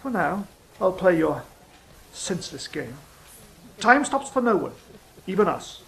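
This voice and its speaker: A woman's voice: lowish voice, very animated, a little slow, gravelly, fairly bright tone, full character voice, low-quality recording. A woman playing a proud, sardonic trickster angel in an audio drama, dry and evasive. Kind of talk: stage-style audio drama acting.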